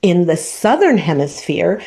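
A woman, hosting a podcast and teaching in an unhurried way, tagging her points with "right?". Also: fairly low pitch, sing-song, gravelly, a little mellow. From Argentina. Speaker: podcast host. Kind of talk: reflective teaching talk.

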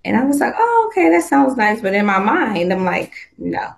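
A woman's voice: a little high, big pitch swings, moderate pace, creaky. Chatty woman in her fifties. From Southern California. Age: 50s. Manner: upbeat, chatty, laughs often, many restarts. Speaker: guest, swimwear designer. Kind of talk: casual interview chat.

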